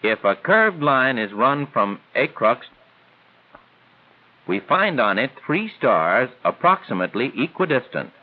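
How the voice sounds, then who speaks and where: A man reads scripted 1940s training film narration in a measured, formal, instructional way. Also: medium pitch, sing-song. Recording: tinny band-limited 1940s film soundtrack.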